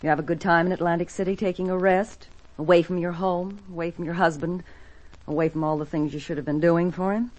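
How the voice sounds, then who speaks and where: An actress playing a cold, contemptuous sister who speaks in clipped, cutting sentences, in old radio drama style. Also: female voice, on the low side, fairly even intonation, fairly quick, a little mellow, natural voice, low-quality recording. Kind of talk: 1950s radio drama acting.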